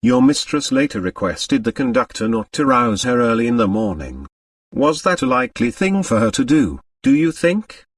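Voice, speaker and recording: Audiobook role: courteous male examining magistrate from France read by a male narrator, formal and probing, expressive pitch movement. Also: no room sound, studio quality, distant mic. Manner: measured, polite, inquiring.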